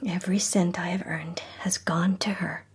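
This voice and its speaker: A woman's voice: lowish voice, flat delivery, normal speed, husky. An actress playing a young, loyal and proud society woman detective in a radio drama, speaking in a refined, heartfelt way. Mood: earnest, reflective.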